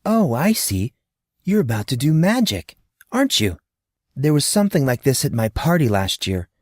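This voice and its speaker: A man's voice: mid-range voice, big pitch swings, normal speed, dry recording, studio quality. A man voicing an innocent, curious little girl in an audio drama story, chatty and naive, full of questions and exclamations. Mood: excited, knowing.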